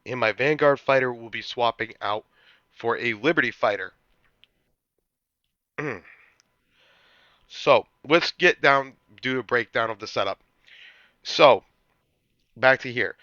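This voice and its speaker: A man hosting a gaming channel, talking through a strategy walkthrough while getting over a cold, now and then clearing his throat and restarting his sentences. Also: mid-range voice, slow, long pauses, sharp tone, dry recording, muffled recording. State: recovering from a cold.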